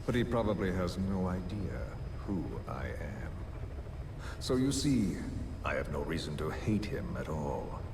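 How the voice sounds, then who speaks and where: Very deep, slow, vengeful villain. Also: male voice, sing-song, background noise, exaggerated voice. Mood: measured resentment. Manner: slow, deliberate, solemn monologuing. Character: calm, coldly vengeful male villain, philosophical and menacing. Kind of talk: dramatic video game cutscene acting.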